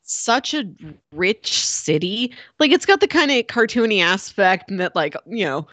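A woman's voice: mid-range voice, sing-song, unhurried, fairly bright tone, dry recording. A woman co-hosting a podcast in casual chat, talking informally and descriptively with wry, playful asides. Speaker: podcast co-host discussing a film.

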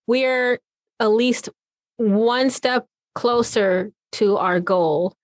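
A woman hosting a podcast, speaking in an earnest, encouraging way in drawn-out phrases. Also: a little high, dry recording, clean studio sound. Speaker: podcast host. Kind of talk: casual interview chat.

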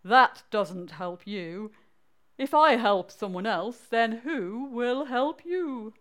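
A woman voicing a kindly mother mole, drawn-out and deliberate, in a rhyming children's story. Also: on the high side, expressive, fairly bright tone. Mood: worried.

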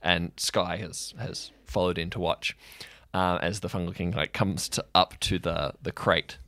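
A man working as game master, improvising fantasy narration in a casual, halting, conversational way. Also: deep voice, steady tone, sharp tone, no echo. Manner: conversational, frequent "like" and trailing pauses.

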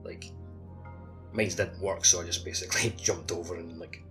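A man's voice: low-pitched, steady tone, moderate pace, pushed and bright, faint music behind. A man co-hosting a casual video game podcast over a voice call, rambling and tentative in his phrasing. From Scotland. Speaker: podcast co-host. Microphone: voice call over the internet.

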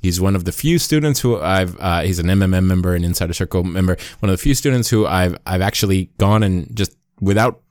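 A man's voice: deep voice, fast talker, few pauses, darkish tone, no room sound. Upbeat male podcast host, dry sound. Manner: upbeat, conversational with frequent fillers. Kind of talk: music marketing podcast.

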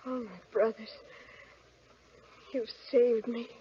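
A woman's voice: a little high, steady tone, slow pace. A woman playing a villain's young wife in an old children's radio drama, in a melodramatic style. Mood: relieved. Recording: muffled, faintly noisy old radio recording.

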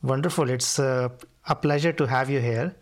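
A man's voice: medium pitch, normal speed, no room sound. A man hosting a podcast, reading a scripted, emphatic intro and then interviewing a guest. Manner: emphatic, repeats key phrases. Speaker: podcast host.

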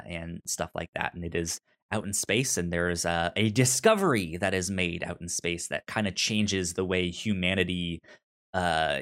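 A man co-hosting a casual discussion podcast, speaking informally and haltingly, with stuttered, repeated syllables and words. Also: low voice, fairly bright tone, clear, resonant tone. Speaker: podcast co-host. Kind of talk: casual book and film discussion.

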